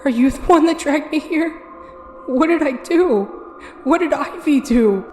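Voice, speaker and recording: A woman voicing a frightened young girl who pleads and shouts her questions. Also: sits high, measured pace, reverberant, in a large hall, noisy background, voice pushed up. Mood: scared, angry.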